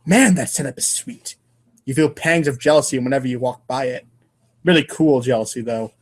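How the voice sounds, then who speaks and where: A man's voice: mid-range voice, normal speed. A man reading comic narration aloud in a casual, irreverent way, stumbling over lines now and then. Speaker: podcast co-host and reader.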